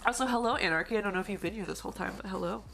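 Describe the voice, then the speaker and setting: A young woman live-streaming while she models in 3D, musing slowly and chatting with viewers, her voice going hoarse. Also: medium pitch, fairly bright tone, slight background noise. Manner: slow, musing, thinking aloud, greets chat. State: losing her voice.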